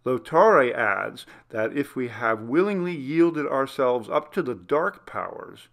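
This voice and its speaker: A man reading a classic Gothic story aloud as scripted narration, in a measured, formal literary manner. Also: mid-range voice, lively intonation, vocal fry, a bit bright. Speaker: audiobook reader.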